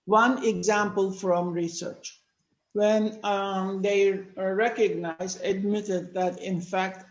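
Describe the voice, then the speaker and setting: An older man from Turkey, speaking natively, giving a measured lecture-style talk with many pauses. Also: high voice, flat delivery, roomy sound, lo-fi sound. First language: Turkish. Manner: measured, explanatory, with frequent "uh" pauses. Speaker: panel speaker. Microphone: video call.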